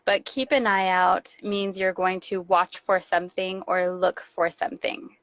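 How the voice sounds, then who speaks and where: A woman's voice: on the low side, level tone, a little slow, dry recording. A woman from Los Angeles with an American accent, a guest teacher patiently explaining English phrases. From Los Angeles, California, USA.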